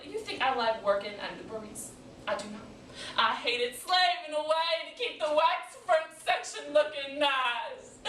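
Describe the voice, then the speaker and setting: A woman performing a comic stage monologue as an exasperated, hard-working wife and mother, scolding loudly and emphatically. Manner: loud, shrill, emphatic scolding with drawn-out words. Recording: echoey room, distant mic, background noise.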